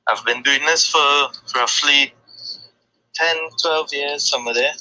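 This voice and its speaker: A man from Trinidad and Tobago with a Trinidadian accent, answering questions as a guest in a halting way, full of fillers. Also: a little high, steady tone, pushed and bright, low-quality recording. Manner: hesitant, halting, with many fillers. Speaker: guest, freelance graphic designer. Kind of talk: casual conversation.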